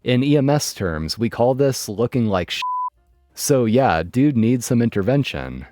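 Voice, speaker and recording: A man narrating online stories in a lively, dry storytelling manner. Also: medium pitch, a little slow, dry recording, soft background music.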